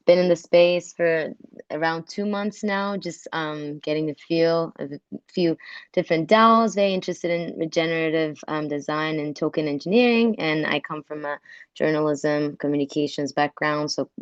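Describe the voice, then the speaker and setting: A young woman from Turkey speaks in a low voice as a newcomer on a group call. Her audio is dry and somewhat dull, with little room sound. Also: moderate pace, clean tone, no room sound. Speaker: new member of a working group. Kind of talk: casual self-introduction and reading on a call.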